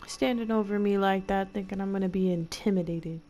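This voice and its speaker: A woman's voice: mid-range voice, steady tone, fairly quick, mellow. Slangy, wry woman streaming a game. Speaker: gameplay streamer. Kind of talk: casual live gameplay commentary.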